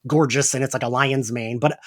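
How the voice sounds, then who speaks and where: A man co-hosting a casual podcast chat, animated and quick to laugh, with lots of fillers. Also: medium pitch. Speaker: podcast co-host.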